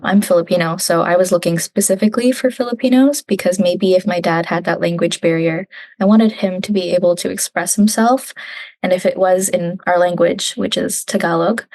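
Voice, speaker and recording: A woman's voice: on the low side, steady tone, fairly quick, darkish tone. A young woman from Canada, a guest in a casual conversational interview, chatting and laughing often as she retells family talks. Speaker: digital specialist, guest.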